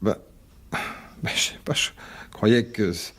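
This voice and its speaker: An actor playing a weary, placating husband in a radio mystery drama, colloquial and sighing, coaxing yet dismissive. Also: male voice, fairly low pitch, slow pace, a bit bright.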